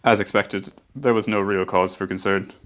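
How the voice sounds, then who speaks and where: A man's voice: on the low side, fairly even intonation, normal speed, a bit bright, lightly acted. A man narrating a radio play as a crewman, grave and reflective. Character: male ship's engineer recalling the voyage.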